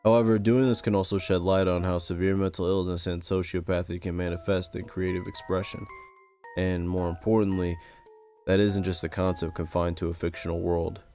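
A man reading scripted narration for a video essay in a measured, serious way. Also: sits low, level tone, warm tone, faint music behind. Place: close-recorded voice-over with music behind.

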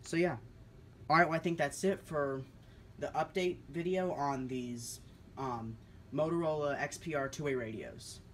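A man's voice: on the high side, unhurried, slight background noise. A man giving a casual, unscripted demonstration in an informal, explanatory way. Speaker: hobbyist video creator demonstrating two-way radios. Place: indoors, kitchen-like room with countertop.

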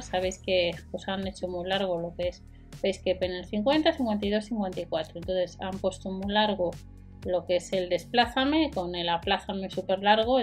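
A woman hosting a shopping video, chatting to viewers over screen captures as she lists prices and sizes. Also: mid-range voice. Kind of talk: narrated product walkthrough. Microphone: voice-over with a desk microphone.

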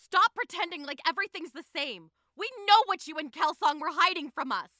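A woman playing a fierce, blunt young female warrior in an animated drama, with an intense manner. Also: very high voice, brisk, no room sound, studio quality. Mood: angry. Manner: accusatory, intense.

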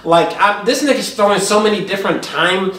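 A man's voice: high-pitched, a little fast, fairly bright tone. A man in his twenties giving a casual, emphatic, profanity-laced reaction review to camera. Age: 20s. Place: small home kitchen, indoors. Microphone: camera a few feet away. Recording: echoey kitchen, distant camera mic.

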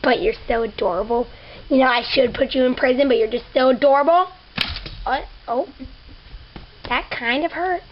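A woman's voice: high voice, moderate pace, pauses often, a little hiss or hum, lightly acted. A young woman voicing a vain, silly panda judge in a home plush toy show, fussing over her wig and gushing over the witnesses. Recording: dull lo-fi home camera audio.

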